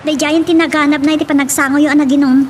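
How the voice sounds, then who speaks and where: A woman's voice: high voice, little pitch movement, quick pace, slight background noise, natural voice. A woman playing an earnest, pleading young daughter in an audio drama, with a Filipino accent. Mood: concerned. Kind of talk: Ilocano radio-style drama acting.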